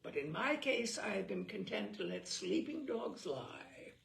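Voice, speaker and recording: A woman host reading a humorous literary essay aloud with theatrical, deliberate, carefully phrased delivery. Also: mid-range voice, sing-song, gravelly. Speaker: host and reader. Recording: roomy echo, distant microphone.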